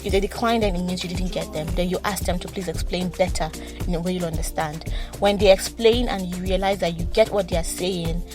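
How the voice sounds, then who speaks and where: A woman hosting a solo advice podcast, talking quickly with a slight stutter and frequent repeated words and restarts. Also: fairly low pitch, steady tone, over music. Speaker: podcast host.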